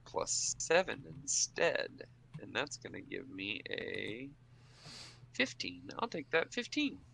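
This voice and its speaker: A man with a fairly low voice plays an improvised tabletop role-playing game. He talks casually, musing aloud, breaking off and starting again as he works out his next move. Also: wildly swooping pitch, slow pace, creaky, bright, forceful tone, a little hiss or hum. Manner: muses aloud, breaks off and restarts.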